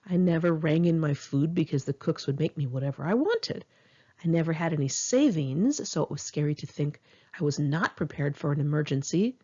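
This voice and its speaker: Woman narrator for a personal memoir: lowish, husky voice, frank and emphatic delivery, on a slightly muffled home recording. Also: a little mellow. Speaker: author reading own memoir. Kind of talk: scripted memoir narration for an audiobook, read in one take.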